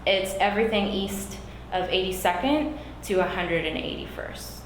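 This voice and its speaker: A woman running for a school board seat, whose first language is Spanish, answering questions earnestly with frequent pauses and fillers. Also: mid-range voice, expressive. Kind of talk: candidate interview. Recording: echoey room, background noise.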